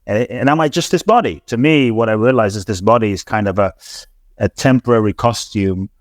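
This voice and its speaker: Earnest man with a low dry voice. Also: brisk, no room sound. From grew up in London. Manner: earnest, repetitive, frequent restarts and stammered repeats. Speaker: transformational teacher, podcast guest. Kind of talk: reflective interview talk.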